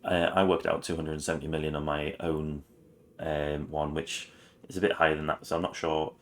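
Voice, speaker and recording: Very deep-voiced man, raspy this morning. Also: level tone, a little fast. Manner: rambling, many ums and restarts. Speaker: gaming channel host. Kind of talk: game guide commentary.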